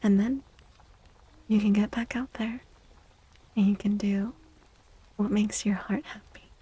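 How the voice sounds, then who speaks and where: A soft-spoken woman livestreaming an ASMR tarot reading, gentle and soothing, with a breathy voice that often slips into a whisper. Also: medium pitch, fairly even intonation, low-quality recording. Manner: gentle, soothing, unhurried reassurance.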